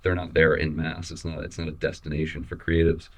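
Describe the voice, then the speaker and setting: A young adult man from Missouri, a photographer talking casually in an interview, relaxed and halting with many restarts and fillers. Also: extremely low pitch. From Missouri, USA. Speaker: photographer guest.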